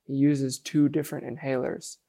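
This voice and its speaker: A man reading scripted news narration as a reporter, in a measured delivery. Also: medium pitch, level tone, creaky, mellow.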